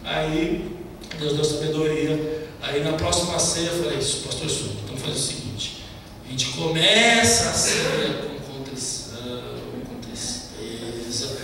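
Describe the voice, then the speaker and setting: A man preaching a lively testimony sermon, telling stories and mimicking broken speech. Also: a little high, measured pace. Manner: animated storytelling, mimics broken Portuguese. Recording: echoey hall, distant mic, background noise.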